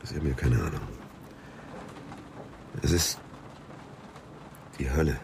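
An actor playing a middle-aged, proud aristocratic suspect in a German radio drama, terse and indignant, halting when pressed. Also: male voice, a bass voice, gravelly, background noise, full character voice.

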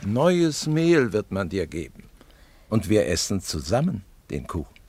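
A gentle comforter speaks in a deep voice with lively melodic movement. He is consoling and kind, in a dryly recorded poetic radio drama. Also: measured pace, slightly rough, no echo, exaggerated voice. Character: gentle, consoling man comforting an old mother.

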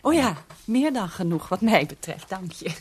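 An actress playing a prim, self-satisfied middle-aged housewife in a radio play, chatty and gushing. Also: female voice, medium pitch, sing-song, moderate pace, slightly rough.

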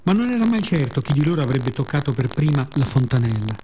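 Mellow Roman man telling a reflective story. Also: mid-range voice, very animated, quick pace, a little hiss or hum. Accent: Roman Italian. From Rome, Italy. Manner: reflective, wry, with colloquial asides. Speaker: writer-performer of radio theatre monologues. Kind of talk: scripted storytelling monologue, conversational and literary.

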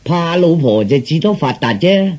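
A man's voice: fairly high pitch, expressive, moderate pace, a little mellow. An actor playing a fawning, boastful male court adviser in a comic radio drama, with a theatrical, persuasive manner.

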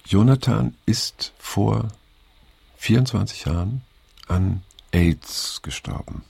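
An actor playing a weary, reflective middle-aged man in naturalistic radio drama, speaking haltingly and pensively with a gravelly, husky voice. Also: fairly low pitch, mellow, dry recording, exaggerated voice. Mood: resigned, somber. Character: weary middle-aged male survivor of a hippie circle, reflective.

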